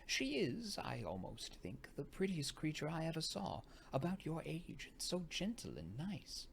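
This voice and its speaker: A tender, admiring governess from a gothic storybook , acted by a man. The voice lifts high and gushes gently. There is faint noise in the recording. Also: huge pitch swings, unhurried, gravelly, full character voice. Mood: admiring, gushing.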